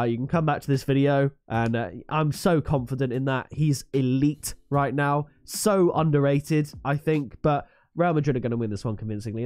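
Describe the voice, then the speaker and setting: Guy w a stuffy cold nose doing his football picks, chatty and confident, bit of a higher voice. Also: darkish tone, smooth, dry recording. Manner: chatty, confident, hypes the fixtures. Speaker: football predictions YouTuber. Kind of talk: solo vlog match predictions.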